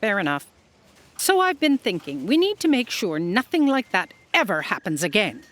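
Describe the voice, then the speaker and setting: An officious female boss, played by a woman, decisive and easily exasperated. She has a mid-pitched, very animated delivery suited to a comic fantasy audio drama. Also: normal speed. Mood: businesslike.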